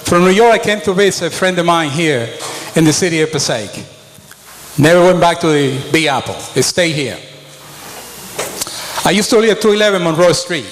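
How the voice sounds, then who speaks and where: Middle-aged dominican-born guy, chatty storytelling, slightly high voice, reverb-y hall. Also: lively intonation, moderate pace. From Dominican Republic. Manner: plainspoken, conversational storytelling. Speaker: mayoral candidate. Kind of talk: debate opening statement. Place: school auditorium.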